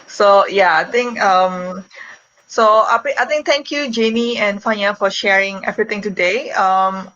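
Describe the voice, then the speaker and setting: A woman's voice: medium pitch, a little slow, fairly bright tone, highs cut off. A woman hosting a live online session, wrapping up with hesitant, filler-heavy remarks over a video call. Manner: hesitant, many filler words. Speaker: host of a live study-abroad webinar.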